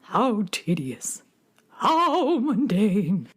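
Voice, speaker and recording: A woman's voice: medium pitch, very animated, drawn-out words. A woman playing a melodramatic beatnik cat-girl from the Moon in a children's radio play, with grand, world-weary pronouncements. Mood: weary, resigned.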